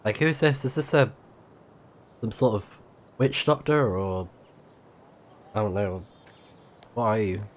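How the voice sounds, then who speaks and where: Easygoing male game streamer, unhurried pace. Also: on the low side, animated. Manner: easygoing, reacting with short exclamations. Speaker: livestreamer playing a video game. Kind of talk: casual reactive game commentary.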